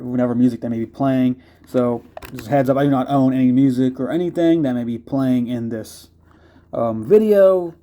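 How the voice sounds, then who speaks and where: Casual American man with a mellow voice. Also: medium pitch. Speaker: radio review video host. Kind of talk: casual unscripted product review. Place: indoors.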